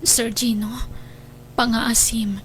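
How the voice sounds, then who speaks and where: A woman acting a troubled, hesitant young woman in a radio drama, speaking in a halting, shy way. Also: a little high, level tone, low-quality recording. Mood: nervous, reluctant. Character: hesitant, troubled young woman secretly courted by friend's father.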